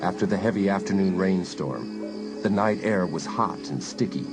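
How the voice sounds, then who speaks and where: A suspense storyteller reads a teen thriller in a measured, dramatic way. His voice sits slightly low, and music plays loudly underneath. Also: expressive. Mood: suspenseful. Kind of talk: scripted suspense narration. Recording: loud music behind narration.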